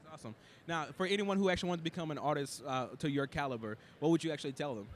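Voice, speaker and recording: Casting: male interviewer, higher-pitched, extremely quick delivery, casual questions. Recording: noisy location with ambient background.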